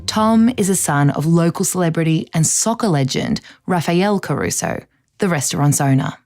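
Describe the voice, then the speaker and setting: An actress playing a female true-crime podcast host, narrating in a measured, insinuating, dramatic way from a script. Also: on the low side, expressive, a little mellow, soft background music.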